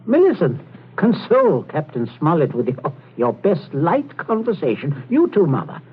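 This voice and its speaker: A man's voice: fairly high pitch, huge pitch swings, slightly rough, exaggerated voice. An actor playing a middle-aged father, outwardly genial but coldly calculating and menacing, sometimes stammering, in a 1940s radio drama style. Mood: falsely jovial. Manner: stammering when flustered, deliberate. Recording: noisy, tinny 1940s radio recording.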